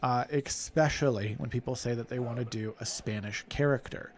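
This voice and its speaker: A man co-hosting a podcast, telling animated stories about playing characters at a festival and laughing often. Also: medium pitch, normal speed. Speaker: podcast co-host and festival performer. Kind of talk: casual podcast chat.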